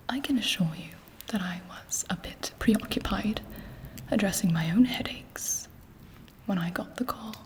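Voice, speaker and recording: Woman acting as an ambitious vampire queen, female, breathy whisper, drawn-out deliberate phrasing, haughty scorn, seductive, ASMR roleplay. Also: medium pitch, close to an everyday voice. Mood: bitter, haughty. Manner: slow, deliberate, haughty, with dramatic pauses.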